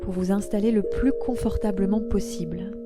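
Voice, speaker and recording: A woman guiding a relaxation meditation in a soothing, gentle way, leaving pauses between her invitations. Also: mid-range voice, steady tone. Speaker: yoga nidra guide. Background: meditative music with ringing tones.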